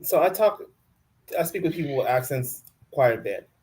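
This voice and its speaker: A man co-hosting a casual recap show, chatty and quick to hedge his opinions. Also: mid-range voice, animated, darkish tone. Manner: chatty, hedging. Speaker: podcast co-host.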